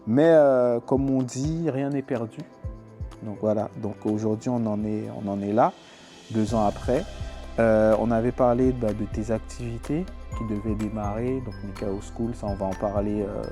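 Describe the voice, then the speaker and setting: Relaxed, mellow male podcast host. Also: on the low side, moderate pace, soft background music. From France, Paris suburbs. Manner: informal, repeats words and phrases. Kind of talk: casual interview chat.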